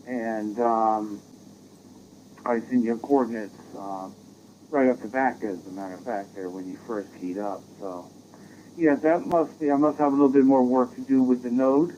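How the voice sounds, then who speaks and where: An American man chatting casually as an amateur radio operator, rambling and halting, heard through a digital radio transmission. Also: mid-range voice, a little mellow. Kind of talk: casual radio contact chat. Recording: dull, noisy digital radio audio.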